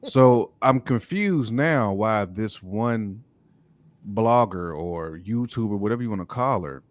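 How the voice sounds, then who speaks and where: Lowish, dry-sounding American male podcaster. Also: animated, unhurried, dry recording. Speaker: podcast co-host. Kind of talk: casual podcast chat.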